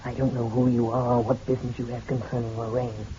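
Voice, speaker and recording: Fast, dark-toned indignant man, acted out. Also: medium pitch, flat delivery, lightly acted. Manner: clipped, indignant. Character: indignant, guarded newlywed man. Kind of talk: old-time radio drama acting. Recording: muffled, noisy old radio recording.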